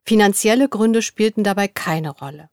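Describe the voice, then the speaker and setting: A young woman in a studio, reading interview answers in a scripted dialogue at an even, clear pace. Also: mid-range voice, normal speed, dry recording.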